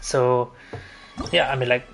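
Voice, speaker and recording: A man's voice: medium pitch, flat delivery, takes it slowly, creaky, fairly bright tone, soft background music. A man chatting casually as a live game streamer, rambling and repeating phrases as he thinks.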